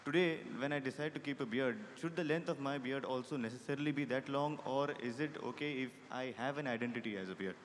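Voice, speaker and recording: Man in audience, quick and polite, asking a question, distant in an echoing hall with faint background hum. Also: medium pitch. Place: large lecture hall.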